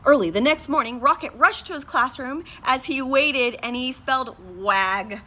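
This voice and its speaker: A woman's voice: high-pitched, moderate pace, pushed and bright. A woman teacher reading a picture book aloud to her class, sounding out and spelling words letter by letter.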